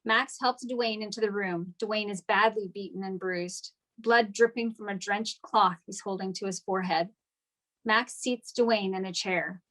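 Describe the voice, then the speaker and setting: A woman serves as narrator for a script table read. The voice is medium in pitch, and the delivery is scripted description of scenes and actions. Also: fairly even intonation, measured pace, fairly bright tone, rough recording. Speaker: stage-direction narrator.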